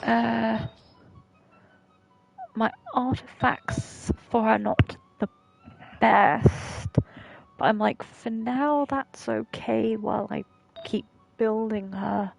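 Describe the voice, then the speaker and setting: A woman live streamer chatting casually while gaming, halting and easygoing. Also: a little high, steady tone, vocal fry, low-quality recording. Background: game music.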